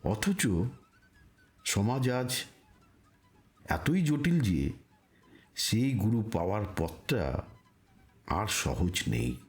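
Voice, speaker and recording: Slow, expressive man narrating devotional reflections. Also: lowish voice. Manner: solemn, deliberate, rhetorical pauses.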